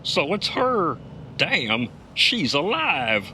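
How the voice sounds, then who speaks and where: An animated folksy trucker detective. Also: male voice, on the high side, drawn-out words, a little hiss or hum, full character voice. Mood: wry, folksy. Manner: folksy, wisecracking storytelling. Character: folksy tough male trucker and amateur detective. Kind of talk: comedic audio drama acting.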